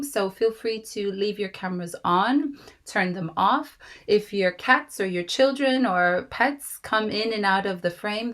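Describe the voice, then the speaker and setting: A woman in her thirties with a slightly raspy voice from a cold, warmly welcoming guests to an online meeting with many fillers. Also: mid-range voice. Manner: gracious, rambling with fillers. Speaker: host. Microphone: video call.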